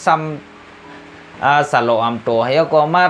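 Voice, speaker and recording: A man's voice: medium pitch, measured pace, a bit bright, faint music behind. A man telling a folk tale aloud in a loose, rambling way, with many fillers. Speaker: storyteller.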